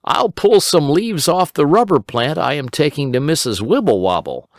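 A man's voice: a little high, very animated, normal speed, dry recording, clean studio sound, voice pushed up. A man doing a kindly old bunny uncle. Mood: eager. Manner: gentle, kindly, helpful. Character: kindly old rabbit gentleman.

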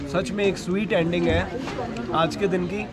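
A man's voice: high-pitched, fairly even intonation, normal speed. Young man vlogging on a crowded street. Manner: upbeat, addresses viewers directly. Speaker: travel vlogger. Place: busy market street outdoors at night. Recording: busy street crowd behind.

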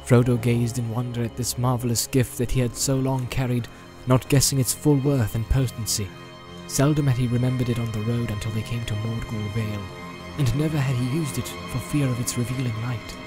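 Loud music surrounds a man's medium-pitched voice as he narrates a storybook. His delivery is solemn and measured, with dramatic weight given to the prose. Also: steady tone, rough recording. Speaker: audiobook narrator. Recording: loud background music and sound effects. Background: loud cinematic music.

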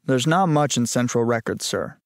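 Man playing a dutiful junior agent, deadpan, deferential, mid pitch, dry sound, audio-story character. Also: normal speed, creaky, no echo. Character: dutiful, literal-minded male junior agent.

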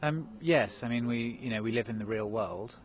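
A man's voice: medium pitch, moderate pace, a little hiss or hum. A man in his forties who grew up in Kenya, answering questions in a live outdoor TV interview, hesitant with many ums and restarts. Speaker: interview guest, national park director. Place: outdoors in snow. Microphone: handheld mic held by interviewer.

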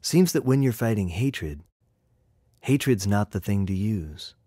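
A man's voice: on the low side, a little slow, no echo. Man reading a rhyming storybook, dry sound. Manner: expressive, rhythmic, carefully paced delivery. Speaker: author reading his own book. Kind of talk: animated picture-book narration in rhyming verse.

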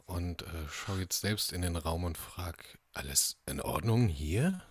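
A man's voice: deep voice, expressive, unhurried, husky, no room sound. A man playing a tabletop game, talking casually in character and repeating short phrases. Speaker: tabletop player.

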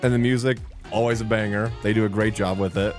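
A man's voice: lowish voice, fairly even intonation, fast talker. A young man giving enthusiastic, exclamatory, casual reaction commentary as a streamer, speaking close into a desk microphone. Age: young adult. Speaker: streamer reacting to a game trailer. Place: small home streaming room, indoors. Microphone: close desk microphone on an arm. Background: music from the game trailer.

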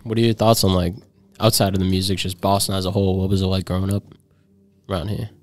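A young man hosting a podcast, asking questions in a casual, upbeat way with quick slangy reactions, speaking into a studio mic. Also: sits low, level tone, dry recording. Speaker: podcast host. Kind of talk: casual interview. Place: small studio with purple backdrop. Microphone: studio mic with headphones.

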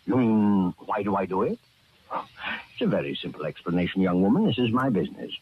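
Genteel radio serial killer, gloating, mock-polite, wildly varied intonation, old noisy recording. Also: male voice, low voice, very animated, a little fast, phone-quality sound. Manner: rambling, self-satisfied, chuckling, mock-courteous. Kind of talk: 1940s radio horror acting.

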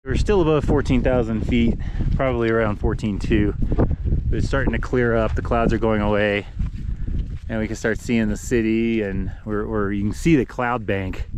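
A man's voice: fairly low pitch, fairly even intonation, normal speed, background noise. A man in his forties casually narrating a hike to an action camera held at arm's length outdoors. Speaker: vlogger on an adventure racing team. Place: outdoors on a windy mountain trail, sometimes inside a small shelter.

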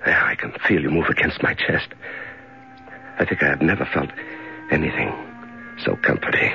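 An actor playing a lonely, despairing prisoner in a 1970s radio drama, musing tenderly and haltingly to himself and a small companion. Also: male voice, very deep voice, creaky. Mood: coaxing, comforted. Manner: halting, musing, repeats words, talks to himself. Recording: dull 1970s radio recording with music.

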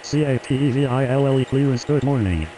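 A man's voice: mid-range voice, fairly quick, warm tone, poor audio. A man speaking clipped, scripted air traffic radio phraseology.

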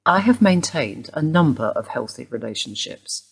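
A woman's voice: low voice, big pitch swings, normal speed, vocal fry, husky, exaggerated voice, rough recording. An actress playing a formal, probing female detective inspector in a radio play.

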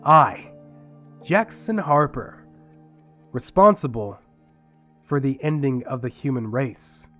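A man playing a bitter, despairing survivor of a dying planet in an audio drama, profane, dramatic and halting. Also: mid-range voice, big pitch swings, fairly bright tone, lo-fi sound, soft background music. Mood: despairing, menacing.

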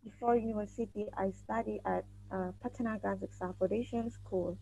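A woman's voice: medium pitch, fairly even intonation, moderate pace, vocal fry, dark tone. A nineteen-year-old woman, a university student giving brief, hesitant answers on a video call. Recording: lo-fi video call with faint hum.

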